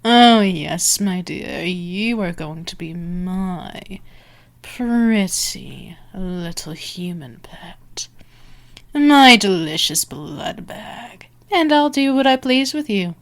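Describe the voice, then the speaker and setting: Dominant vampire woman, played by an actress, ASMR roleplay. Very drawn-out delivery, heavy vocal fry, taunting and possessive, moving between whisper and command. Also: mid-range voice, lively intonation. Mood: seductive, domineering. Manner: slow, teasing, slipping between whispers and sharp commands.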